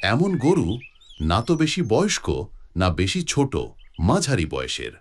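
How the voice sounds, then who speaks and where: A man's voice: lowish voice, huge pitch swings, unhurried, voice pushed up, exaggerated voice. A man voicing a solemn prophet who gives firm, declarative commands in a cartoon religious story. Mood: solemn instruction. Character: solemn prophet relaying divine commands firmly.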